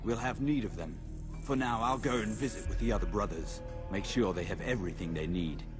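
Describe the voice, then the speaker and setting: Man acting as calm conspiring officer, somewhat deep, clipped, commanding, game cutscene. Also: fairly quick, over music, lightly acted. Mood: assured, secretive. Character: secretive, commanding Templar-like officer, calm and assured.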